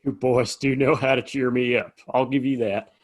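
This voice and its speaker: A man acts out a worn-down saloon owner in a Western audio play. His voice is husky and of medium pitch. He talks in a homespun, hesitant way. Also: steady tone, normal speed, lightly acted. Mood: weary. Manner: hesitant, folksy. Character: weary, put-upon Old West saloon keeper.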